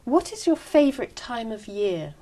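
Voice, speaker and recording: Polite woman examiner, measured prompts. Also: a little high, very animated, mellow. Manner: clear, measured, polite instructions. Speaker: speaking exam examiner. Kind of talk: scripted exam prompts.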